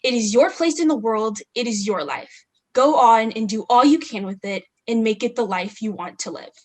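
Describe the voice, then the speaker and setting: A woman's voice: a little high, moderate pace. A young woman from California giving a student group presentation, reading from slides with frequent ums. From California, United States.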